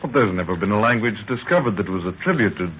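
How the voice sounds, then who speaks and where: Male actor for polite young adventurer, earnest sceptic, very animated delivery, faintly noisy 1930s radio sound. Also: lowish voice, quick pace, highs cut off, close to an everyday voice. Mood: doubtful. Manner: earnest, formal, exclamatory.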